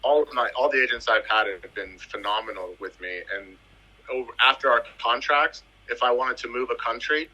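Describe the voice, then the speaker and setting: Virgin islands guy in his 30s, footballer chatting about his career, super bright kinda husky voice, gets emphatic and repeats stuff. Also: medium pitch, moderate pace, lo-fi sound. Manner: animated, emphatic, repeats phrases for effect. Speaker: footballer guest. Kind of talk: casual interview storytelling.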